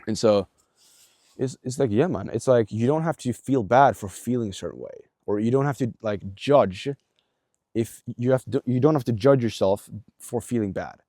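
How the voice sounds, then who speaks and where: A man's voice: mid-range voice, moderate pace, dark tone, no room sound, studio quality. A young man giving a casual motivational talk straight to the viewer, blunt and earnest, swearing often and filling gaps with "like" and restarts. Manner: blunt, heavy swearing, many fillers and restarts; earnest, coaching tone aimed at viewer. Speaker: self-improvement vlogger.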